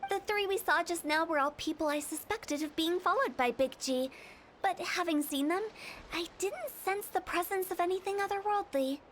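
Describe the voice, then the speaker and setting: A woman's voice: extremely high pitch, normal speed, fairly bright tone, a little hiss or hum. A woman playing a playful, cheeky young funeral director in an anime video game, theatrical and chatty, with music behind. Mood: businesslike.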